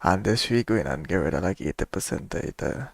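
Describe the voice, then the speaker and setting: A man's voice: deep voice, expressive, fairly quick, breathy edge, no echo. A young man chatting casually over a game as a streamer, slangy and rambling. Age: young adult. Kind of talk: casual chat over gameplay.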